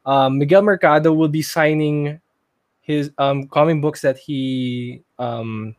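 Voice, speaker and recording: A man's voice: a little high, steady tone, takes it slowly. A man talking informally as a podcast co-host. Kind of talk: casual chat about movies.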